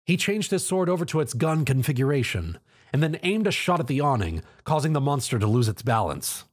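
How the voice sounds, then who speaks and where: A man's voice: medium pitch, very animated, fairly quick, slightly rough. A man reading scripted narration of an action story.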